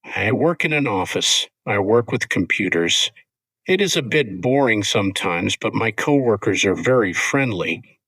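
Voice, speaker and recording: A man co-hosting an English-learning podcast, speaking in clear, simple scripted sentences. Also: on the low side, animated, measured pace, a bit bright, no echo, poor audio. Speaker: podcast co-host.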